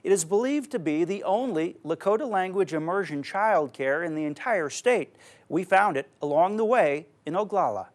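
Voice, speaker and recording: A man's voice: high voice, expressive, normal speed. A man narrating a local TV news feature in a scripted reporter style.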